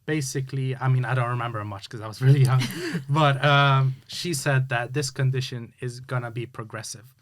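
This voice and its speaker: A man talks through his story as an interview guest. His voice sits at an ordinary middle pitch. He speaks earnestly and halts often, with plenty of fillers and restarts. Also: steady tone, moderate pace, hardly any pauses. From Hungary. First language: Hungarian. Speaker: guest, youth sports coach. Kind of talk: casual interview chat.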